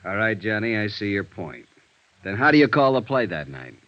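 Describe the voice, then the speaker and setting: A muffled vintage radio recording of a world-weary male police sergeant. He speaks in a low register with sceptical, gruffly businesslike delivery, in classic detective drama style. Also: very animated, normal speed, dry recording. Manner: matter-of-fact, gruffly businesslike.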